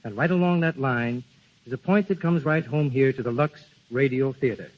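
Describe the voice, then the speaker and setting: Husky man, vintage radio guest. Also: medium pitch, moderate pace. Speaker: guest cameraman giving endorsement. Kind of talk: scripted 1930s radio advertising talk. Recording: muffled vintage 1930s radio broadcast.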